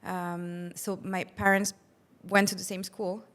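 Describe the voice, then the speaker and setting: A woman's voice: mid-range voice, level tone, measured pace, fairly bright tone. A woman from Luxembourg with a French accent, chatting casually as an interview guest on a video call, with filler words and restarts. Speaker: interview guest, company executive.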